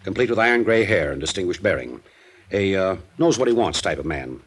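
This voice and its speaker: A man playing a smooth, playful insurance broker in a 1950s radio drama, talking in an offhand, urbane way. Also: low voice, big pitch swings, brisk, a bit bright, heavily acted. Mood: businesslike. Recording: old radio recording, faint noise.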